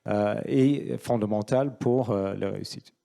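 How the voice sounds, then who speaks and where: A man's voice: lowish voice, unhurried, gravelly, warm tone. A middle-aged man from England with an English accent, a native English speaker, explaining his view as a panel speaker. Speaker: panel speaker, finance professional.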